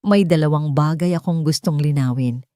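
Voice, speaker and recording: A woman narrator playing a dignified billionaire in a romantic audio drama. He speaks calmly and firmly, with protective weight, in a dry recording. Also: low voice, normal speed, no room sound, lightly acted. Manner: calm, weighty, firm.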